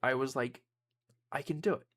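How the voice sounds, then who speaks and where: A man's voice: medium pitch, steady tone, moderate pace, a bit bright. A young man, a YouTuber and streamer, giving a casual personal update to his viewers, rambling with frequent ums and restarts.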